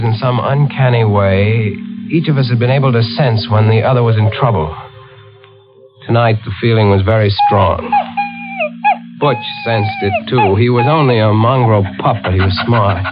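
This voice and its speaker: A man's voice: medium pitch, lightly acted. A man playing an anxious, brooding young man who narrates with dread in a 1940s radio thriller. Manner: tense, brooding, dramatic first-person narration. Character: anxious young man haunted by dread for his twin sister. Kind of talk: 1940s radio drama acting. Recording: muffled vintage 1940s radio broadcast.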